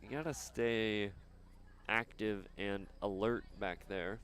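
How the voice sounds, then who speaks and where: A man doing live soccer commentary outdoors, chatting casually and haltingly between plays. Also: on the low side, steady tone, fairly bright tone. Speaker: sports play-by-play announcer.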